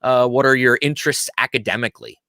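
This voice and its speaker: A man's voice: mid-range voice, fast talker, pushed and bright, no room sound, studio quality. A man from Pennsylvania hosting a live stream, casually greeting viewers and chatting in a friendly, talkative way. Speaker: host of a live-streamed show.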